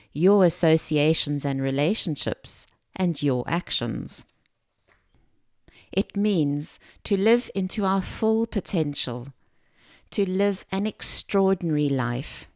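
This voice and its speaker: A woman from Zambia giving a scripted self-help talk as a podcast host, measured and explanatory, speaking directly to the listener. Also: low voice, a little mellow, no room sound, clean studio sound.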